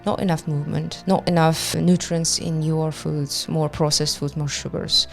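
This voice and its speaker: A woman from Kazakhstan, a doctor speaking as a nutrition expert in a calm, measured, explanatory way. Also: sits low, vocal fry, faint music behind. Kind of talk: expert interview.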